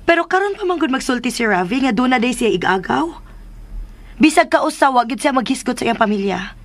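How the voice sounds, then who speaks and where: An actress playing a jealous young expectant mother in a radio drama, measured and wounded. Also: sits high, expressive. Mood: hurt, guarded. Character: jealous pregnant young woman, hurt but restrained.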